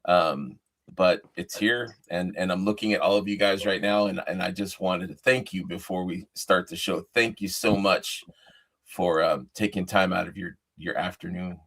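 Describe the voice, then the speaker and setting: A man's voice: deep voice, normal speed, vocal fry, a bit bright. A man with an American accent hosting a casual livestream chat. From California, United States. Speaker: host.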